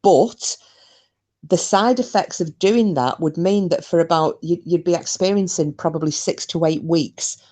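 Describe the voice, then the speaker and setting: A woman's voice: on the low side, unhurried, mellow. A woman co-hosting a podcast, chatting casually about a paranormal case, with lots of fillers and restarts. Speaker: podcast co-host.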